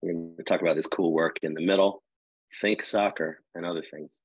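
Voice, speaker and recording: A man's voice: sits low, fairly even intonation, brisk, husky, dry recording, rough recording. Man hosting a video call, rambling. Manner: rambling, many fillers and restarts. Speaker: host, editor-at-large. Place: home room with wood panel wall. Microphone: video call, earbuds.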